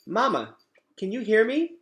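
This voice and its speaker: An earnest young gosling from a storybook, voiced by a man reading aloud. The voice lifts higher than an adult's, with an anxious, childlike manner. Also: very animated, unhurried, a bit bright, heavily acted.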